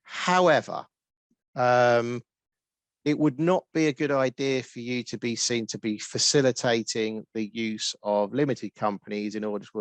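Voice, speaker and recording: A man with a Norwich accent, answering webinar questions as a guest expert with brisk explanations full of ums. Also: mid-range voice, dry recording. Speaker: guest expert on tax rules.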